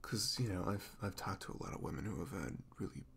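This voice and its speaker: A man playing a sweet, goofy, self-conscious young boyfriend in an intimate ASMR roleplay, speaking in a halting, casual, reassuring way. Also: low voice, vocal fry, slight background noise. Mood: earnest, reassuring.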